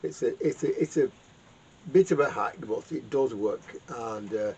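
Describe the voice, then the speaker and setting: A man giving a casual, unscripted repair demonstration in a halting, rambling way, often repeating words as he explains. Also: mid-range voice, a little mellow. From United Kingdom. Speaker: vintage radio repairer and video host. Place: home garage workshop. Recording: dull lo-fi sound with clear background noise.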